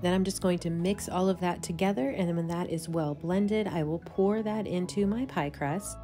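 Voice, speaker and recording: A woman's voice: medium pitch, darkish tone. A woman in her thirties chatting casually in a home cooking vlog, walking through recipes step by step. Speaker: vlogger. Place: home kitchen, then a room with bookshelves.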